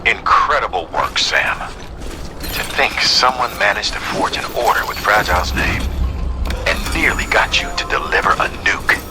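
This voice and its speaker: Deep-voiced, composed official. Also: male voice, lively intonation, unhurried, music in the background. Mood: grateful, measured. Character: composed, appreciative male official praising a courier. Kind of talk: video game cutscene acting. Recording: clear mechanical background noise.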